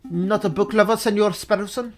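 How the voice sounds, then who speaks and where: A man's voice: sits high, fairly even intonation, brisk, faint music behind, pitched above the performer's own voice, natural voice. A man voicing a courteous, melancholy fox prince with a Spanish accent and a formal, earnest way of talking. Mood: courteous, reflective.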